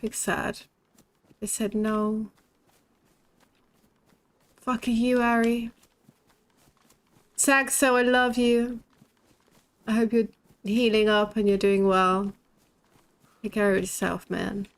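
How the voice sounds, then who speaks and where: A woman's voice: mid-range voice, flat delivery, slow pace, long pauses. Sweary woman streamer with a lisp. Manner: blunt and sweary, laughs often. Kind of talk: casual chat while playing a shooter game.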